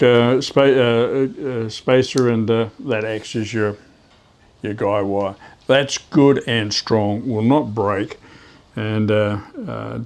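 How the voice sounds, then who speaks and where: A man giving a casual hands-on how-to as a hobbyist, talking haltingly as he works with his hands. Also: lowish voice, darkish tone. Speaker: ham radio hobbyist presenting DIY tips.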